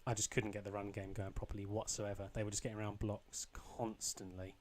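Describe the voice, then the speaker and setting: A young man with a slightly croaky voice, casually commentating his own football video game match, rambling with ums and restarts. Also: lowish voice, level tone, fairly quick, a little mellow, a little hiss or hum. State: a bit croaky. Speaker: gaming channel host.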